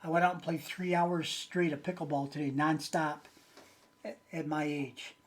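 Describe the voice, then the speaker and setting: A man's voice: fairly high pitch, steady tone, normal speed. A man in his late sixties chatting casually and unscripted to his viewers as a channel host, rambling in a folksy way with hesitant phrasing. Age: late 60s.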